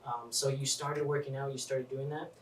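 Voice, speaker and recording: A man's voice: medium pitch, little pitch movement, normal speed, distant mic. A man co-hosting a podcast, asking curious follow-up questions. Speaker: podcast co-host. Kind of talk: casual interview. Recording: echoey room, off-mic, faint noise.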